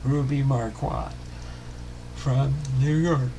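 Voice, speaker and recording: A man hosting a baseball history series, reading a biography aloud in a halting way, stumbling and rereading words. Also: mid-range voice, creaky, mellow, a little hiss or hum.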